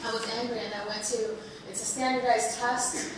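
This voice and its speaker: A woman whose first language is Spanish, a bit under the weather, telling her story to an audience in an earnest, halting way with many restarts. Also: fairly high pitch, steady tone. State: under the weather, slight cold. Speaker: panel speaker. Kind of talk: personal talk to an audience. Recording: echoey hall, far from mic, noisy.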